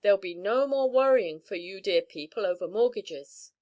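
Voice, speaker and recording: A woman's voice: mid-range voice, animated, moderate pace, a little mellow, muffled recording, natural voice. A woman voicing a hearty young man back from prospecting, telling his tale soberly in a storybook reading. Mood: cheerful.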